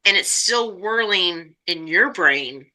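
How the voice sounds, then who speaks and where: Woman podcast host, very bright voice. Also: on the low side, lively intonation, takes it slowly, low-quality recording. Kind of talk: conversational interview, asking questions.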